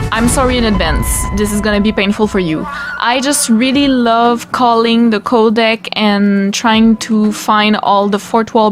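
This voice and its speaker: A chatty young woman with a Quebec French accent talks through a game in pre-recorded commentary, with a slightly high voice. Game music plays clearly behind her. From Quebec, Canada. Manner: chatty, upbeat, excited. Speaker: gaming YouTuber.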